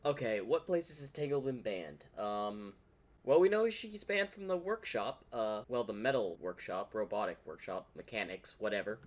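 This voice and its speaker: Lively, mid-pitched reaction host. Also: normal speed. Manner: thinks aloud, repeats phrases. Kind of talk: reaction commentary on a comic dub.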